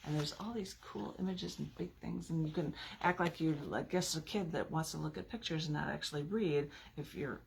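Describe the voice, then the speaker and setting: A woman poet with a husky, gravelly voice, reading her work theatrically. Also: low voice, moderate pace, few pauses, slight background noise. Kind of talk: dramatic poetry reading with casual asides.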